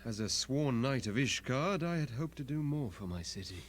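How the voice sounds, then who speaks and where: An actor playing a solemn, humble knight in a fantasy game, speaking earnestly and formally. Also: male voice, medium pitch, animated, normal speed, lightly acted. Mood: regretful, humble.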